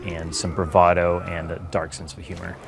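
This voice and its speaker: A man's voice: deep voice, completely flat delivery, normal speed. A man speaking as an audio director in a behind-the-scenes interview. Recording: clear background ambient noise.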